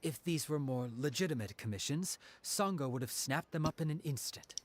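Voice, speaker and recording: Animated, talkative detective. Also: male voice, fairly high pitch, normal speed. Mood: earnest. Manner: talkative, polite, emphatic. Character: chatty, courteous detective with an animated temper. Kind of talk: video game voice acting.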